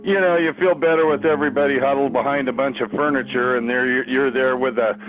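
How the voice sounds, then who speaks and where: A man's voice: mid-range voice, fast, soft background music. A man talking casually in an interview clip, rambling with lots of fillers. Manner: rambling, filler-heavy, relaxed. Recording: tinny phone-like radio clip.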